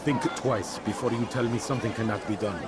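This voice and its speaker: An actor playing a ruthless, proud merchant boss in a video game, scornful and domineering. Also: male voice, lowish voice, fairly even intonation, a little fast, a loud crowd, very noisy, lightly acted. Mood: enraged. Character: ruthless, menacing arms dealer, proud and cruel merchant boss. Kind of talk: dramatic video game acting.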